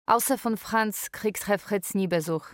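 An actress playing a lonely, wry Polish live-in carer, narrating a radio drama in a deliberate way. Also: female voice, mid-range voice, no room sound, studio quality, natural voice. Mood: matter-of-fact.

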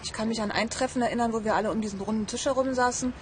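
A woman's voice: fairly high pitch, fairly even intonation, racing, over music. A woman speaking spontaneously as an interviewee, recalling past events in an informal way. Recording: clear background ambient noise.

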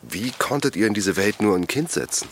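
A middle-aged man acting a calm father of two in an audio drama, giving short, dry answers. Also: fairly low pitch, brisk, a little hiss or hum. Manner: calm, dry, brief replies. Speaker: local villager.

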